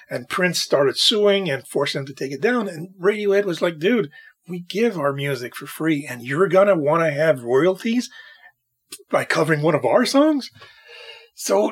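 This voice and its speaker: A man hosting a solo music podcast late at night, with a raspy voice and an opinionated, rambling way of talking. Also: a little high. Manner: opinionated, rambling, talks to himself. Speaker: podcast host. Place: home recording at night.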